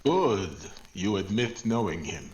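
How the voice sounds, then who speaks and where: A man playing a weary, sardonic older Portuguese police chief in a 1940s-style radio drama, speaking deliberately and with dry scorn. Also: on the low side, sing-song, darkish tone, rough recording. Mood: dry, menacing. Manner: slow, deliberate, dryly scornful.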